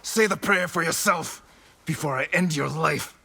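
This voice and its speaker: An actor playing a grim young samurai lord in a video game, stern, restrained and intense. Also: male voice, fairly high pitch, normal speed, vocal fry, sharp tone, husky, no echo, heavily acted. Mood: cold anger. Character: grim young samurai lord haunted by his father's death. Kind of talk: dramatic video game cutscene acting.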